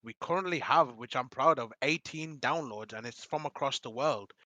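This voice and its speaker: Bright rambling young podcast host. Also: male voice, mid-range voice, moderate pace, dry recording. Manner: rambling, laughing, repeats promotions. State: losing his voice. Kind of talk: casual podcast chat.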